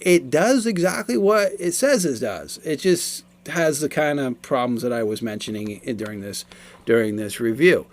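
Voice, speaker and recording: A man in his forties giving a casual, explanatory product review, talking in a halting, repetitive way. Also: medium pitch, lively intonation.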